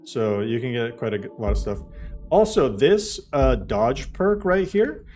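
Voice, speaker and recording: A man's voice: medium pitch, very animated, unhurried, a little mellow, soft background music. A man live-streaming a game, chatting loosely with viewers and walking them through steps, with lots of "like" fillers. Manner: explains things step by step to viewers. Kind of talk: casual live-stream chat while playing.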